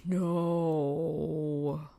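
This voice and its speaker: A sardonic, awkward young man voiced by a woman mumbles in a deadpan, hesitant way. The voice is low and creaky, like an audiobook hero who would rather not be talking. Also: extremely slow, noisy background, exaggerated voice. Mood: grumpy. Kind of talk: audiobook character acting.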